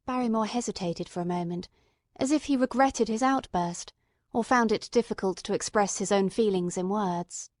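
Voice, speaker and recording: A woman narrating a dramatized mystery story in a measured, literary storytelling manner. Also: mid-range voice, dry recording. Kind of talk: dramatized audiobook narration, reading dialogue in character.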